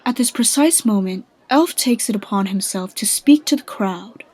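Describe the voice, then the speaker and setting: A woman's voice: medium pitch, lively intonation, moderate pace, darkish tone. A woman giving scripted narration as the storyteller of a radio drama.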